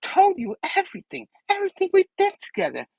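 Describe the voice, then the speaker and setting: A man's voice: very high voice, huge pitch swings, fast, vocal fry, a little mellow, no room sound, pitched above the performer's own voice, full character voice, poor audio. A man voicing a gleeful, taunting goblin supervillain from a comic book in a theatrical, mocking way. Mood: sly.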